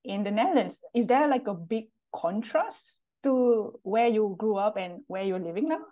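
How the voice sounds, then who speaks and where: A woman's voice: medium pitch, animated, moderate pace, warm tone, highs cut off. A woman from Singapore hosting an interview over a video call on a headset, asking questions and restating the guest's answers to check them. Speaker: interview host. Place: room with bookshelves, video call.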